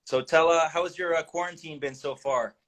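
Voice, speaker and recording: A man's voice: on the high side, fairly even intonation, fairly quick, fairly bright tone. A man hosting a casual interview, easygoing, with frequent fillers. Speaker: host.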